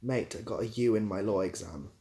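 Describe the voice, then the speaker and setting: A man's voice: lowish voice, fairly quick, warm tone. A British-accented man chatting casually as a livestream host, answering viewers in a dry, easygoing, self-deprecating way. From Britain.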